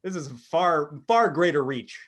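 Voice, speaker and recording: A man's voice: fairly high pitch, lively intonation, a little slow. A middle-aged man co-hosting a podcast with jokey, casual banter. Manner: jokey, playful. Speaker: podcast co-host.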